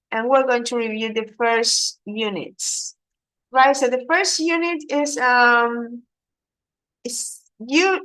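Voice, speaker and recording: A woman's voice: fairly high pitch, a bit bright, low-quality recording. A woman teaching English, patiently prompting and correcting her student. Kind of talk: online English lesson.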